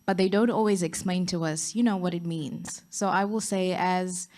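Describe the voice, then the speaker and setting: A woman's voice: lowish voice, fairly even intonation, moderate pace, darkish tone. A young woman in the audience asking the panel an earnest, direct question. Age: young adult.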